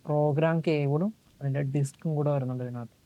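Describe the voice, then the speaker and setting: A man giving a casual, halting unboxing demonstration, pausing to search for words. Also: on the high side, fairly even intonation, mellow, lo-fi sound. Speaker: product reviewer. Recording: noisy amateur recording with ambient sound.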